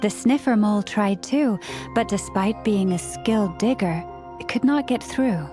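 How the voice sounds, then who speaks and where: A woman's voice: medium pitch, animated, over music, lightly acted. Woman voicing a composed, formal young game heroine. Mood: calm, explaining. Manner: measured, formal, polite. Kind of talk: video game voice acting.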